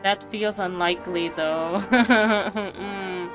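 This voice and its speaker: A woman's voice: medium pitch, fairly even intonation, very slow. A woman game streamer giving casual, slangy, reactive commentary on a playthrough. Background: game background music.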